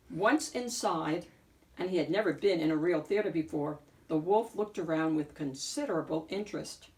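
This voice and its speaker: A woman narrating a children's picture book in an expressive read-aloud style, with deliberate, dramatic emphasis. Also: low-pitched, distant mic. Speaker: storyteller, picture book reader.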